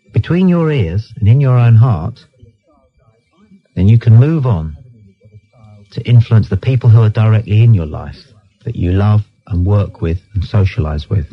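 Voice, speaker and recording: A man's voice: mid-range voice, breathy edge. British guy hosting late night radio, blunt and argumentative, voice swings up and down loads, kinda muffled recording. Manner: blunt, dismissive, repeats himself insistently. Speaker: radio phone-in host.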